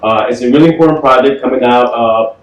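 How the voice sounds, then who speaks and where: A man's voice: medium pitch, fast, dull audio. Joking male podcast host, roomy sound. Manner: relaxed, joking questioner. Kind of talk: casual interview. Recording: roomy room, mic at a distance.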